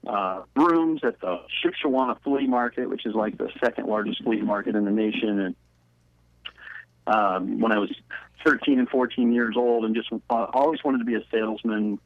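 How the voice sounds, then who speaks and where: A man's voice: fairly low pitch, normal speed, no room sound. A man in his forties from northern Indiana with a Midwestern American accent, telling his story in an easygoing way as a guest calling in. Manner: easygoing storytelling, with ums and uhs. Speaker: guest, business consultant. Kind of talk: phone-in interview. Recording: dull dry phone-line audio.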